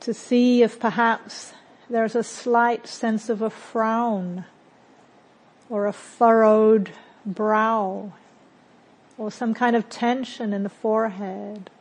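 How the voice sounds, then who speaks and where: A woman leads a guided meditation at a very slow pace. Her voice sits a little on the high side. She speaks calmly and gently, in short phrases with long, unhurried pauses. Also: low-quality recording. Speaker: meditation teacher. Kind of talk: guided meditation, body scan.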